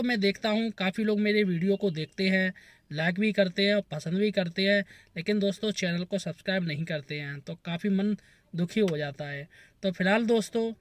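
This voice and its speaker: A man hosting a channel, earnestly addressing viewers with advice in a moralizing outro style. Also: sits high. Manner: earnest, advising. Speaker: channel host.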